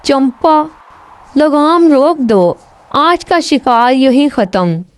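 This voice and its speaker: A woman's voice: high voice, animated, takes it slowly. A woman voicing a proud, commanding king out hunting in a moral storybook tale. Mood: solemn. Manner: commanding, lordly. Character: proud hunting king, male, stubborn but softening.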